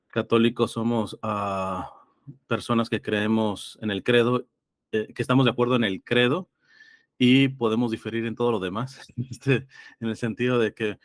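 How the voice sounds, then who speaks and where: Low-voiced man, slightly congested, dry sound. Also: no room sound. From Los Angeles, California. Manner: hesitant, reflective, explains through examples and citations. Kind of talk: conversational theological discussion.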